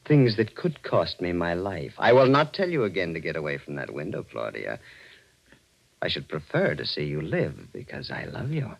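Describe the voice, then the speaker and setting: Sinister, controlling villain with swooping intonation. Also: male voice, low-pitched, sing-song, gravelly, heavily acted. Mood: menacing, obsessive. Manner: formal, deliberate, menacing. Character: sinister obsessed murderous man, menacingly polite, coolly controlling. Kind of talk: 1940s radio mystery acting. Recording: dull old radio recording.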